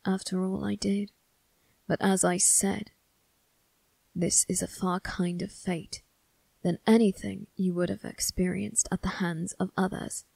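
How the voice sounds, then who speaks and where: A woman playing a cold, menacing young male vampire crime boss in an ASMR roleplay, speaking in a breathy, husky half-whisper with a measured, condescending manner. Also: mid-range voice, little pitch movement, a little mellow, no echo. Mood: quiet threat. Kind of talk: ASMR character roleplay addressed to the listener.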